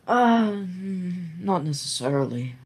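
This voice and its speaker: A woman with a husky voice playing a tabletop game, role-playing in a casual, hesitant way. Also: fairly low pitch, lively intonation, slow pace. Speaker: tabletop role-play player. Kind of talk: casual in-character role-play.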